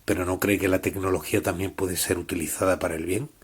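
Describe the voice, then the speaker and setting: A man's voice: low-pitched, fairly even intonation, fast talker, breathy edge. A man hosting a scripted interview, putting questions and addressing listeners as presenter. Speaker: interviewer, host.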